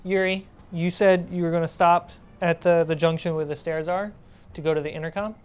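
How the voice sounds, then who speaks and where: A woman's voice: lowish voice, fairly even intonation, brisk, clean tone. A woman narrating a tabletop role-playing game as game master.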